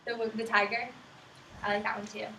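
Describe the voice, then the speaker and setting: A woman's voice: medium pitch, flat delivery, normal speed, pushed and bright. A young woman reading aloud to small children as a student teacher, asking simple questions in an encouraging, affirming way. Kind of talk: interactive picture-book read-aloud with questions to young children. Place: indoors in a classroom with young children. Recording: echoey room, distant mic, clear background noise.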